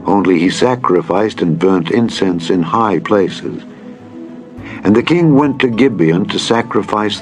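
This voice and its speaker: A man reading scripture as a Bible narrator, solemn, measured and formal in a dramatized style. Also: on the low side. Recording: music bed under the reading. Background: music.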